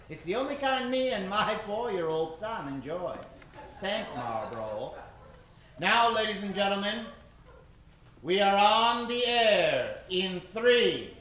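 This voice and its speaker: Slow, high-pitched theatrical horror radio host, man. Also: a bit bright, a little hiss or hum. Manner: melodramatic, ominous, drawn-out delivery with dramatic emphasis. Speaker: host and announcer of a live radio drama show. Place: live stage show before an audience. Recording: roomy live stage recording with music. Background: music.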